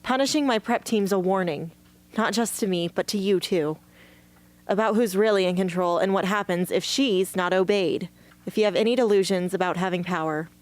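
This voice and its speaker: A woman's voice: lowish voice, close to an everyday voice. A woman playing a defiant, guarded young rebel hunter in an amateur radio drama, speaking in a blunt, challenging way. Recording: faint hum and background noise.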